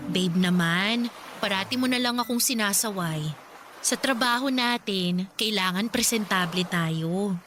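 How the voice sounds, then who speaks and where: A woman's voice: mid-range voice, unhurried, a bit bright, faint music behind, natural voice. A woman acting an exasperated, whiny young girlfriend who complains in a radio drama. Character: exasperated, nagging young bar-worker girlfriend.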